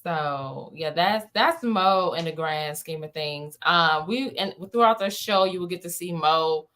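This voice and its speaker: A woman's voice: fairly low pitch, measured pace, a bit bright, slight background noise. A woman from Washington, D.C., chatting casually as an interviewed playwright, in an emphatic, rambling conversational way. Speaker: playwright and director being interviewed.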